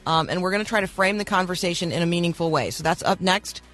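A man's voice: high voice, fast, music in the background. A man hosting a morning radio show, speaking in an earnest, encouraging devotional tone with a slightly froggy voice and frequent ums and restarts.

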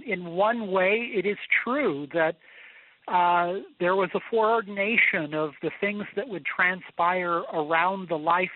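Guest theologian, male, high-pitched, measured and thoughtful, heard over a narrow phone-quality line. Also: no room sound. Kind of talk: explanatory answers on a radio show.

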